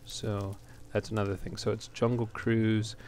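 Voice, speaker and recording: A man giving casual gameplay commentary in a halting way, as if thinking aloud while he plays. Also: fairly low pitch, flat delivery, a little mellow. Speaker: let's player, host. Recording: clear background noise.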